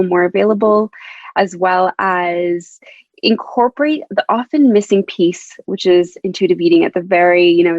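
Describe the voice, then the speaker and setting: A woman's voice: lowish voice, no room sound, highs cut off. A Canadian woman, a dietitian, talking casually as a podcast guest in an upbeat way, laughing often and repeating words as she restarts. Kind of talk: casual interview talk.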